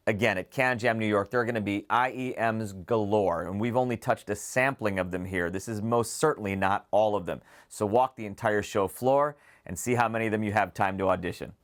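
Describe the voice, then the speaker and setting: A man hosting a product preview, speaking to viewers in a detailed, enthusiastic, semi-scripted way. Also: fairly low pitch. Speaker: presenter of an audio gear preview show.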